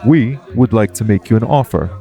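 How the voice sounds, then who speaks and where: A man playing a composed, formal angel in an audio roleplay, speaking in a measured, persuasive way. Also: on the low side, over music, close to an everyday voice. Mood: calm, persuasive. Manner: formal, measured, solemn recruiter.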